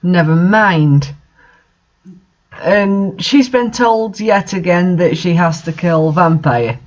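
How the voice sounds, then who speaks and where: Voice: medium pitch, expressive, slow pace. A person casually commenting on fan fiction they read aloud, exasperated, incredulous and swearing freely. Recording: dull lo-fi microphone sound.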